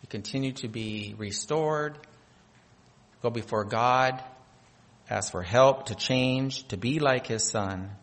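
A man's voice: medium pitch, slow, fairly bright tone. A man from North Dakota delivers a sermon in a church hall, with a long echo trailing his words. He reads scripture aloud and swells in emphasis on key phrases. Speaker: pastor.

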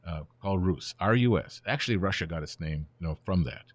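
This is a man in his seventies with a very deep voice, whose first language is Ukrainian. He tells history in a relaxed, storytelling way, and his voice often trails off softly at the ends of phrases. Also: a little fast, no room sound. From born in Germany, raised in the United States. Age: 70s. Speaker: guest. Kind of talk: conversational interview, explaining history.

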